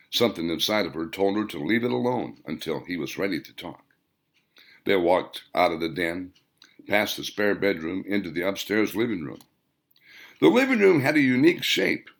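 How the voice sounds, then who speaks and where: A man narrates a novel in a low-pitched voice with a gravelly creak. His reading is scripted storytelling, and his pitch moves up and down in a lively way across each phrase. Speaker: author reading own novel as audiobook narrator.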